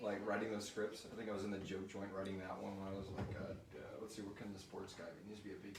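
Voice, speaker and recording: A man's voice: low-pitched, little pitch movement, very fast, background noise. A man hosting a casual live question-and-answer chat in a joking, informal way. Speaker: show creator and host reading out listener questions. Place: room with a group at a live stream. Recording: roomy echo, distant mic, crowd behind.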